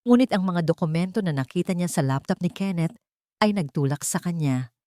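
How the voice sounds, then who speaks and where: Female Filipino audiobook narrator, lower register, dry studio sound, dramatic delivery for serialized fiction. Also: fairly quick, dark tone, no echo. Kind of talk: dramatic scripted story narration.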